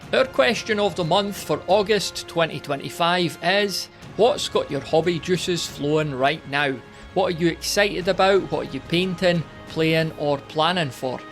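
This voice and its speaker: A man's voice: a little high, unhurried, fairly bright tone, faint music behind. A man hosting a hobby podcast in a casual, informal, chatty way. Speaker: podcast host and interviewer.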